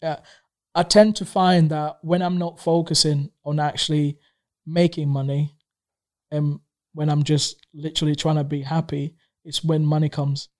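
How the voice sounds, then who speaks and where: A man's voice: a little high, little pitch movement, moderate pace, a little mellow. A man in his thirties who grew up in Tanzania and speaks Swahili natively, chatting casually as a guest, rambling with many fillers and restarts. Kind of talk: casual conversation.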